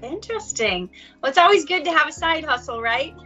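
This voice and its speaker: High, bright-voiced woman interviewer. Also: lively intonation, brisk. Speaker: host interviewer. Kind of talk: casual interview. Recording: dull lo-fi sound with faint music.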